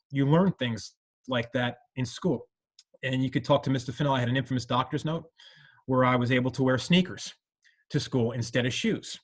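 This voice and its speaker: A middle-aged man from New York speaks reflectively, looking back with thanks and a bit of apology. He talks in long, rambling stretches full of fillers, at an ordinary male pitch. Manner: earnest, rambling with many "you know" fillers. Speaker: alumnus panelist. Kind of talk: reflective conversational talk.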